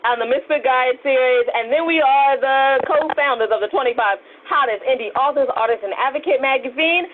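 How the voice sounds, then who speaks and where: A woman's voice: sits high, brisk, few pauses, slight background noise. A wry, teasing woman co-hosting a podcast in casual chat, with muffled, band-limited sound. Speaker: podcast co-host.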